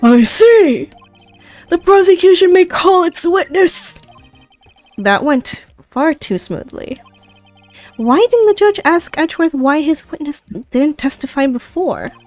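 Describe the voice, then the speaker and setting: A woman's voice: high voice, sing-song, slow pace, darkish tone, soft background music. A woman streamer with an Icelandic accent, chatting casually and giggling as she plays a game. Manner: giggly and playful. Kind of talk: casual chat while playing a game.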